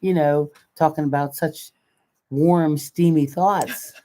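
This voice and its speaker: A woman's voice: low voice, steady tone, takes it slowly, warm tone. An American woman in her sixties co-hosting a casual chat in a folksy, colloquial way. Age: 60s. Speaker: co-host of a live chat show.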